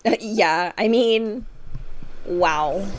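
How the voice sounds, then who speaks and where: A woman streamer giving casual, expressive live reactions to a game, full of short exclamations. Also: medium pitch, very slow, a little hiss or hum.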